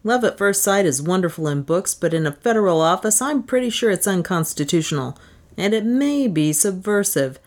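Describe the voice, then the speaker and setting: A woman reads the part of a skeptical male office boss in a comic story. He is dry and scoffing, wisecracking with animated swings of pitch. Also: normal speed, close to an everyday voice. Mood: disgusted.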